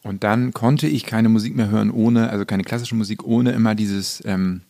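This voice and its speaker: A man with a fairly low, gravelly voice talks casually as an interview guest. He is reflective and halting, circling back as he looks for the right word. The sound is close and dry. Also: steady tone, a little mellow, no room sound. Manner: reflective, halting, searching for words. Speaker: guest musician. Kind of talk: casual conversational interview talk.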